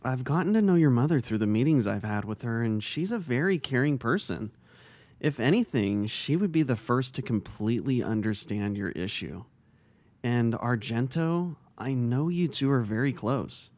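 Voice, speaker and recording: A man acting a kindly, caring male vice-principal and mentor in a dramatic story reading, speaking gently and earnestly. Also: medium pitch, expressive, moderate pace, no echo, natural voice. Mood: reassuring, caring. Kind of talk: dramatic reading of fan fiction. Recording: music under the voice.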